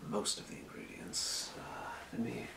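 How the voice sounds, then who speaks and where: A man playing a calm fantasy potion shopkeeper in a breathy, measured, courteous whisper for an ASMR role play. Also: echoey room, background noise, lightly acted. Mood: calm, helpful. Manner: measured, murmuring, courteous merchant patter. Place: small indoor room.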